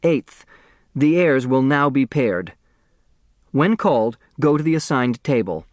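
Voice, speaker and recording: A man playing a formal reader of game rules in an audiobook, announcing deliberately. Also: medium pitch, expressive, darkish tone, dry recording, clean studio sound, close to an everyday voice.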